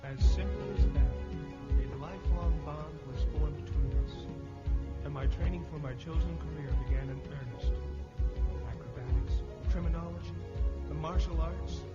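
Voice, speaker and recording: A man's voice: fairly high pitch, moderate pace, close to an everyday voice. A man playing a young sidekick hero who narrates his orphaned past in an earnest, theatrical comic book style. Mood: reflective. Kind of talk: dramatic first-person comic book narration. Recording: muffled audio, loud music underneath.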